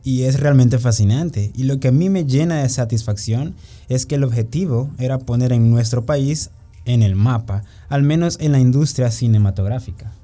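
A man's voice: medium pitch, moderate pace, mellow, soft background music. A man with a Salvadoran accent co-hosting a radio segment, reading prepared notes in a friendly way. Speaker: radio co-host.

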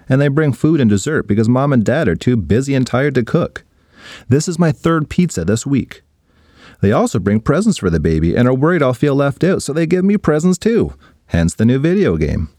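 A man voicing a lazy, carefree young boy who brags in a relaxed way. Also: medium pitch, brisk, no room sound, clean studio sound. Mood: laid-back. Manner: laid-back, boastful.

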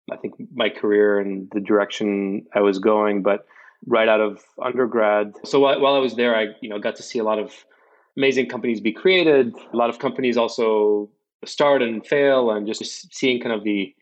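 A man in his forties from Morocco, a company founder telling his story as an interview guest in a measured, reflective way with fillers and restarts. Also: on the low side, lo-fi sound. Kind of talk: casual interview.

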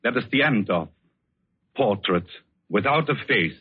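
An actor playing a grave, devoted man in a 1940s radio suspense drama, speaking deliberately and dramatically. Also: fairly low pitch, expressive, slightly rough, no room sound. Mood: tender, fatalistic. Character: grave, devoted man confessing the truth to his lover. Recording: muffled, narrow old 1940s radio recording.

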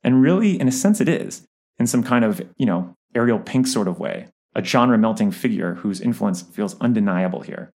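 A man's voice: on the low side, lively intonation, normal speed, darkish tone. A man reading a scripted album review as narration.

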